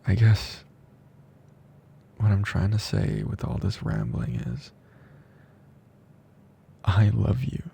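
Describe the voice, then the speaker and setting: A man playing a tender, teasing best friend confessing love in an intimate ASMR roleplay, speaking softly and slowly with breathy drifts into whispering. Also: on the low side, a little hiss or hum, heavily acted. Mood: devoted, heartfelt.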